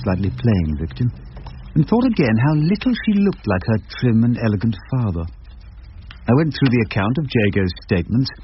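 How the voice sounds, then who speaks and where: An actor playing a wry, irreverent older English barrister, droll and wordy, in radio drama dialogue and narration. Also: male voice, fairly low pitch. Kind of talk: radio drama acting and first-person narration. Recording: muffled radio broadcast, faint noise.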